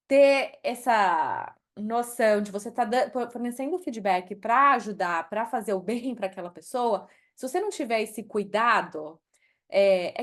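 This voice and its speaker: A middle-aged woman from Brazil with a Brazilian accent, speaking candidly as a podcast interview guest and slipping into English phrases. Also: on the high side. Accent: Brazilian Portuguese. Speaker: guest, HR executive.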